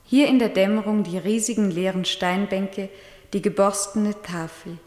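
An actress playing a timid young nun of peasant birth in a radio play, earnest and lyrical. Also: female voice, mid-range voice, moderate pace, a little mellow, natural voice. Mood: awed, uneasy. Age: young adult. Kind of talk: German radio play acting. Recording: echoing, reverberant stone hall.

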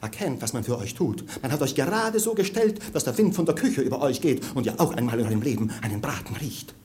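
An actor playing a pedantic village schoolmaster, bossy and obsequious, in a 1950s German radio comedy. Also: male voice, mid-range voice, animated, fast talker, darkish tone, lightly acted. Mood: bossy drilling. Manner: pedantic, barking then obsequious.

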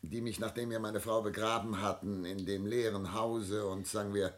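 An older man acting in a German radio play as a cultured, melancholy widowed patriarch, speaking in deliberate, theatrical, literary phrases. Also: on the low side, steady tone, natural voice. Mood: grave, confiding.

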